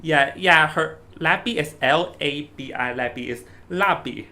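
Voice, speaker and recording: A young man from Hong Kong with a Hong Kong accent, chatting casually as an esports commentator, animated and stumbling over restarts. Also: a little high, measured pace. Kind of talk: casual stream commentary chat. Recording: faint background noise.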